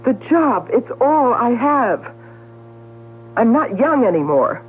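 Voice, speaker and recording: An actress playing a vain, chatty middle-aged society columnist in a theatrical, emphatic 1970s radio drama style. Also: female voice, fairly high pitch, sing-song, slow. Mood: hurt, pleading. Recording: tinny, noisy vintage radio broadcast with hum.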